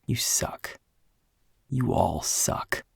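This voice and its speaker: A man voicing a resentful, defiant character in an audio roleplay, with a gravelly, husky voice and a petulant, sneering delivery. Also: very slow, no room sound, in a whisper, studio quality. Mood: petulant, bitter. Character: resentful, defiant former-vampire human man.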